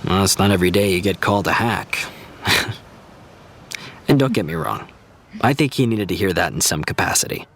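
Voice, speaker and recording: An actor playing an easygoing, diplomatic TV writer who explains things in a reassuring, conversational way, in an audio drama. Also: male voice, low-pitched, animated, normal speed, creaky, long pauses, fairly bright tone, heavily acted. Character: easygoing, diplomatic TV writer consoling a young fan. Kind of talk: naturalistic audio drama dialogue.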